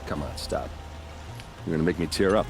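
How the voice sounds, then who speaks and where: An actor playing a blunt, self-deprecating warrior captain in a video game cutscene, talking in a casual, wry way. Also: male voice, very deep voice, a little fast, music in the background. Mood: wry, teasing.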